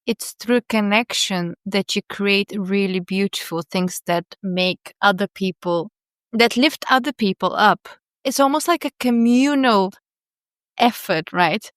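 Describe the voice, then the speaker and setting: A woman's voice: mid-range voice, takes it slowly, a bit bright, no room sound, studio quality. A woman hosting a podcast interview, talking reflectively and often checking in with tag questions. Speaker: podcast host. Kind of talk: conversational interview.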